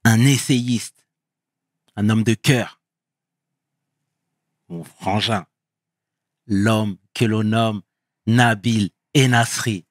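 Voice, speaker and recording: A man hosting a casual interview show, speaking enthusiastically with slang and warm compliments. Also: fairly low pitch, steady tone, very slow, pauses often, no echo, clean studio sound. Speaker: talk show host.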